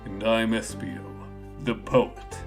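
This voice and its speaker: A man voicing a solemn, deadpan male chameleon poet in a video game, measured and cool-headed. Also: soft background music. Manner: low, flat, measured.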